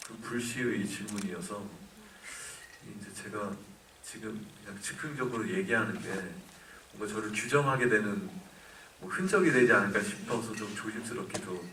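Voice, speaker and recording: A man answering questions at a film Q&A, speaking in a measured, halting way with many fillers. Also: fairly low pitch, pushed and bright, a little hiss or hum. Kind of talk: live Q&A answer. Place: cinema auditorium. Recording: echoey cinema from far off.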